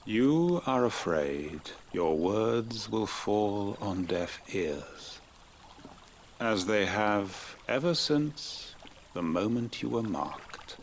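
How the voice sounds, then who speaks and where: An actor playing a shrewd, dignified tavern owner in a fantasy game, speaking formally with measured, knowing authority. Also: male voice, lowish voice, lively intonation, a little hiss or hum, heavily acted. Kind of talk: video game cutscene acting.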